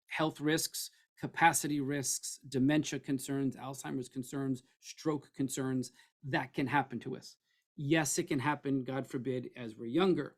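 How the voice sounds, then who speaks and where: Hoarse middle-aged man, froggy voice. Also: fairly high pitch. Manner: conversational, repeats phrases, checks in with "okay?". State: hoarse, losing his voice. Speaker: estate planning attorney. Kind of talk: webinar presentation.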